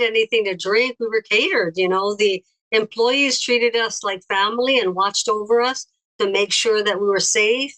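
Bright-voiced woman with a slight accent. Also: medium pitch, poor audio. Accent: slight foreign accent. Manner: gentle, unhurried, with pauses and fillers. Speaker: interview guest. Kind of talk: recollecting in conversation.

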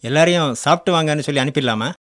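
An actor playing the disciple John in a radio drama, speaking in plain, earnest colloquial talk. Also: male voice, on the high side, rapid-fire, close to an everyday voice. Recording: radio drama with soft music underneath.

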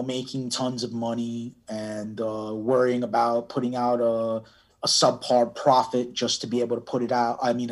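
A man giving casual interview answers, halting and often restarting his phrases. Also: medium pitch. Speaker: cannabis brand owner, podcast guest.

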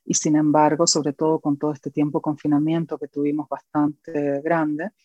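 A woman from Argentina with an Argentine accent, a guest educator and author speaking earnestly in an interview, pausing often with fillers. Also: deep voice, little pitch movement, dry recording, highs cut off. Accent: Argentine Spanish. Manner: earnest, reflective, with many pauses and fillers.

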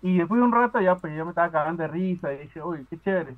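A man's voice: fairly high pitch, animated, quick pace. A man from Peru calling into a podcast, chatting casually with many fillers and restarts. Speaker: podcast caller. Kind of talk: casual chat. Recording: tinny phone line.

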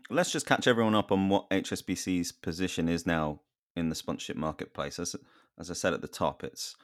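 A man with a deep voice hosts an interview, greeting his guests and asking questions in a friendly, chatty way. The sound is dry and close. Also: no room sound. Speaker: interview host. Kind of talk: podcast interview hosting.

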